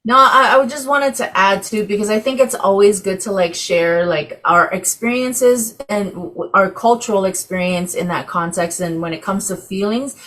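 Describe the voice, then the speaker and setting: A woman's voice: medium pitch, normal speed, hardly any pauses, a bit bright. Chatty woman facilitator from Samoa, casual and filler-heavy, over a video call. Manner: chatty, many fillers and "you know". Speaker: class facilitator. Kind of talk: casual class discussion.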